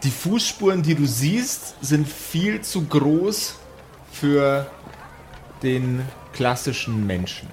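A man's voice: on the high side, expressive, slow, darkish tone. A man improvising narration and rulings as the game master of a tabletop game. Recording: clear background noise.

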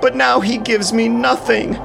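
A man's voice: very high-pitched, steady tone, unhurried, creaky, pitched above the performer's own voice, exaggerated voice, low-quality recording. A man voicing a proud young warrior hero, aggrieved and pleading, in impassioned, declamatory audio drama style. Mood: anguished. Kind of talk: audio drama acting of epic poetry. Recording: music behind the voice.

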